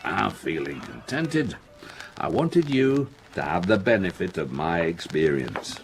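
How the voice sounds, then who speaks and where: A self-important middle-aged industrialist in a radio drama. His low voice swings dramatically up and down as he blusters and brushes things aside, with faint music underneath. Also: sing-song, unhurried, gravelly, heavily acted. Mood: self-satisfied. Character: pompous middle-aged factory owner, self-important and dismissive. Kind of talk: radio play acting.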